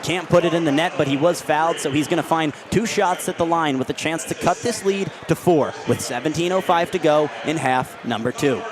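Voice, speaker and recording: A young man in his twenties calling basketball play-by-play on the radio, with rapid, vivid play calling. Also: a little high, noisy background. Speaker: play-by-play announcer. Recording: radio call with loud arena crowd. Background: arena crowd and bouncing ball.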